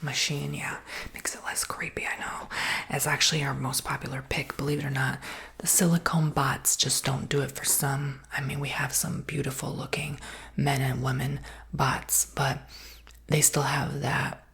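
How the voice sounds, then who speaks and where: A woman whispering in a breathy voice with a creaky undertone, giving casual, reassuring sales patter as an ASMR roleplay. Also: extremely low pitch, flat delivery, normal speed, a bit bright.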